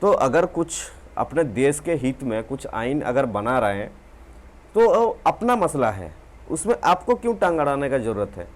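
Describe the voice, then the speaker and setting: A man's voice: mid-range voice, very animated, a little slow. A man hosting a reaction channel, giving an opinionated commentary straight to his viewers. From India. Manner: opinionated, addresses viewers directly. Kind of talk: commentary monologue.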